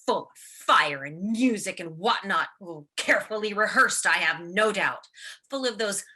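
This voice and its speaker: Husky, sharp-edged proud stage diva played by an actress. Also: female voice, mid-range voice, expressive, a little slow. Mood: indignant. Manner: theatrical, sarcastic, emphatic delivery. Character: proud, paranoid, wounded theatrical leading actress. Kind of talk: radio play acting of classic film dialogue.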